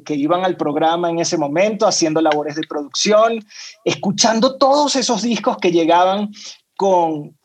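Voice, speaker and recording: A man from Venezuela with a Venezuelan Spanish accent, chatting as a guest on a podcast and often repeating words as he searches for the next one. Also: high voice. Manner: talkative, repeats words while searching for them. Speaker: podcast guest, music executive. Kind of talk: casual interview chat.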